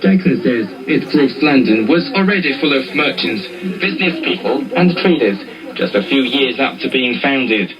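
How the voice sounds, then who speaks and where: A man's voice: medium pitch, animated, moderate pace, crowd noise. A man reading a scripted news report as a reporter, heard through a shortwave radio. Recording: dull distant shortwave radio, loud music.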